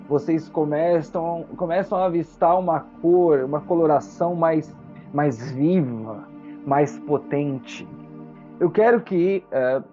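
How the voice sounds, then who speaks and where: A Brazilian game master narrates a tabletop roleplaying session in a mellow voice. The storytelling is dramatic and takes its time with pauses. The recording is slightly muffled, with music underneath. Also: medium pitch.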